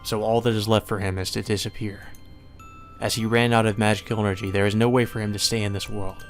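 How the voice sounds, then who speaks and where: A man reading a visual novel aloud as dramatic, solemn and measured narration. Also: on the low side, level tone. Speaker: narrator, let's play reader. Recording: music behind the voice.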